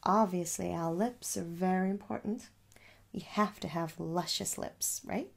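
A young woman speaking softly in an ASMR shop roleplay, coaxing the listener with little questions in a husky voice. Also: lowish voice, unhurried, a little hiss or hum. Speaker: ASMR performer playing a makeup shop seller. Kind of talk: soft-spoken ASMR roleplay. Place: small room at home.

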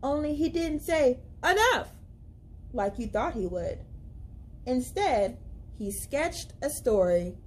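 A young woman reading a children's picture book aloud as a library storyteller, with expressive, deliberate, dramatic emphasis. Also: sits high, slight background noise. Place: indoors, library among bookshelves.

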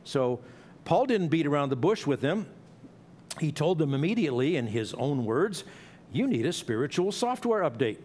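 A man's voice: on the high side, sing-song, normal speed, a little mellow. A middle-aged man preaching an expository sermon in a church, explaining a passage in a conversational way with asides and small restarts. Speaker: pastor. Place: church sanctuary.

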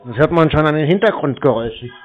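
A man's voice: a little high, animated, quick pace. A man bantering casually on a phone call in a mocking, provocative way, playing clueless. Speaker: prank call recipient baiting scam callers.

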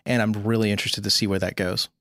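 A man's voice: lowish voice, level tone, quick pace, bright, forceful tone, dry recording, studio quality. A man co-hosting a podcast, chatting casually about games. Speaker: podcast co-host.

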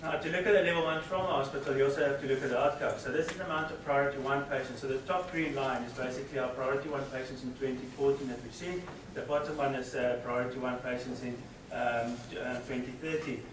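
South African man in his forties, lecturing informally at a lectern, heard from across a booming room with a steady background hiss. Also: fast talker, few pauses. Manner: informal, hesitant, frequent ums and restarts. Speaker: trauma surgeon giving a keynote. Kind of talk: conference lecture. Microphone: lectern mic picked up by a distant camera. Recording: echoey room, far camera, steady background noise.

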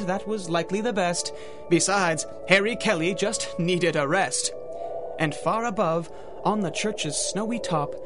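Male narrator, high voice, rhyming storybook delivery, dramatic, radio drama, music underneath. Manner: theatrical, dramatic.

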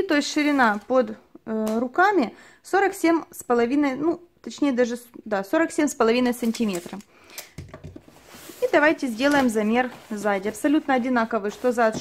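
High-voiced woman doing a chatty product review. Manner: chatty, thorough, many fillers and diminutives. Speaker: channel host reviewing clothing. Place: indoors at a table, filming only her hands.

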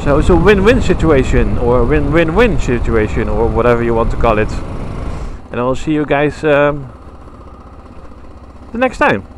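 A man's voice: mid-range voice, expressive, normal speed, long pauses. A man with a Dutch accent talking casually while riding a motorcycle, rambling and correcting himself as he goes, recorded on a helmet camera mic. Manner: rambling, chatty, self-correcting. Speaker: motovlogger. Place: outdoors on a motorcycle on country roads. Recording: engine and wind noise under voice. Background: motorcycle engine and wind.

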